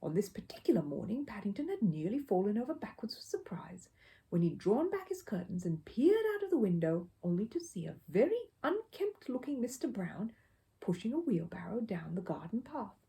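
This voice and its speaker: Animated, mellow woman reading a children's story. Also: mid-range voice, normal speed. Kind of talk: expressive children's audiobook narration.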